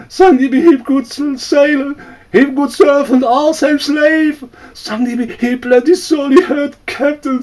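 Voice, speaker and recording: A man voicing a terrified male servant who begs for mercy in broken speech with a shrill, pleading wail. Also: a little mellow, distant mic.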